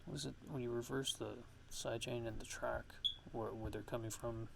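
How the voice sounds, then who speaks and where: A man giving a casual music production tutorial in a halting, laid-back, ASMR-style way, talking offhand. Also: mid-range voice, fairly even intonation, gravelly, background noise.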